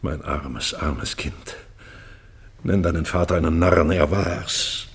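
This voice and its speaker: A man's voice: very deep voice, takes it slowly, exaggerated voice. An actor playing a proud, remorseful old British king, declaiming solemnly in classical verse for a radio play. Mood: grieving, self-reproachful. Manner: declamatory, solemn, breaking into hushed tones.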